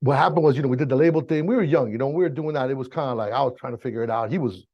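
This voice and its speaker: A middle-aged American man tells stories as an interview guest. He talks very quickly in a gravelly, creaky voice, with loose slang, through a slightly dull, lo-fi mic. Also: medium pitch. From Miami, raised there, born in Chile. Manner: slangy, informal, frequent "you know" and "bro". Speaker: interview guest, music engineer. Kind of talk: casual storytelling.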